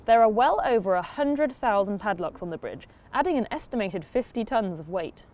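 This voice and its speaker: A woman's voice: medium pitch, animated, moderate pace, a little mellow. A woman in her thirties presenting a scripted show in an upbeat, wry way. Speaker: host. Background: music.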